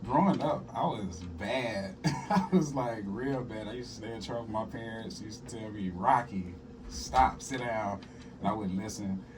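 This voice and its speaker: Laid-back young man, low voice, distant mic. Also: moderate pace, crowd noise. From southern Arkansas. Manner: laid-back, laughing, storytelling. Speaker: guest comedian and musician. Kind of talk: casual interview chat.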